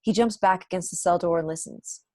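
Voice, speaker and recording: A woman's voice: lowish voice, brisk, a little mellow, no room sound. Young woman narrating a script, dry sound. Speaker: narrator reading stage directions. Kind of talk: scripted narration at an online table read. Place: small room at home, indoors. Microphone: wired earphones on a video call.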